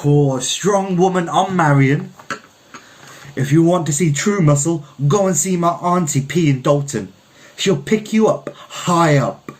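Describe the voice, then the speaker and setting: Storybook role: male reader as young Jamaican fiancée, higher-pitched, teasing, playful. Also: unhurried, lightly acted. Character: Olivia, teasing young Jamaican trainee nurse, engaged woman.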